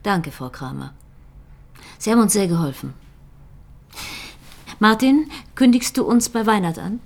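An actress playing a firm police detective who questions people directly and probingly in a screen drama. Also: female voice, medium pitch, sing-song, measured pace, gravelly, exaggerated voice. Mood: calm, reassuring. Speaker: police investigator. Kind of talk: dramatic film dialogue.

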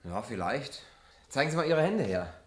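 An actor dubbing an easygoing man in a film who talks in a dry, low-key way with wry humor. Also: sing-song, moderate pace, soft background music, lightly acted. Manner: dry, low-key, at times mock-formal like a waiter. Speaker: survivor in a film, dubbed.

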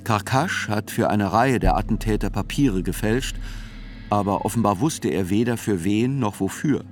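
A man's voice: on the low side, moderate pace, a little hiss or hum, natural voice. An actor playing a sober, reflective male reporter who narrates a radio drama in literary reportage style.

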